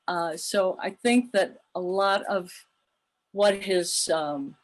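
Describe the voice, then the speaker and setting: Woman moderating a panel, halting and thoughtful. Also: medium pitch, low-quality recording. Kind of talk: panel discussion on a video call.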